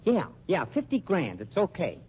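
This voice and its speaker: A man's voice: sits high, huge pitch swings, moderate pace, darkish tone, husky, heavily acted. An actor playing a jittery, dim-witted henchman with slangy, pleading talk in an old radio crime drama. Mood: obedient. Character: jittery, dim male henchman, defensive and whiny. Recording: dull, noisy old radio recording.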